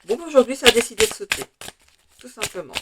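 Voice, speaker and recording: A French woman with a slightly hoarse voice, chatting through a tarot card reading with many hesitations and fillers. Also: fairly high pitch, animated, fast talker, heavy background noise. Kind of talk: casual weekly tarot reading.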